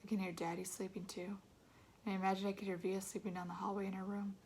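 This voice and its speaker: A woman reading a children's novel aloud in an expressive storytelling manner, narrating in a child's first person. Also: mid-range voice, quick pace, slightly rough. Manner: expressive, acts out dialogue.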